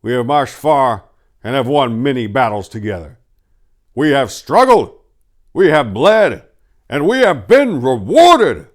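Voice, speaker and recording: A commanding fantasy warlord, acted by a man reading a story proclaims to his lords. The voice is loud and pushed up high, with grand, proud, declamatory delivery. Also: big pitch swings, a little slow. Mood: triumphant.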